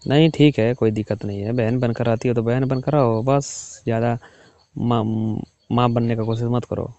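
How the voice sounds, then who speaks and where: A man's voice: steady tone, normal speed, mellow, dry recording. A young man in a casual private chat on a phone call. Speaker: caller. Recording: muffled low-fidelity phone call recording.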